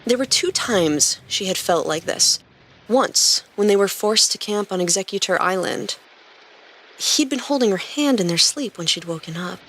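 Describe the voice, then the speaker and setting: A woman's voice: medium pitch, lively intonation, moderate pace, a bit bright. A woman reading scripted story narration as a podcast narrator and host.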